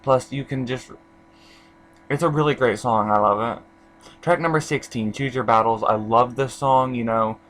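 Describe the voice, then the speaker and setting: Young guy from indiana rambling thru an album review, mid pitch, lots of ums and restarts. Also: moderate pace, a bit bright, poor audio. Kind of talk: casual vlog review.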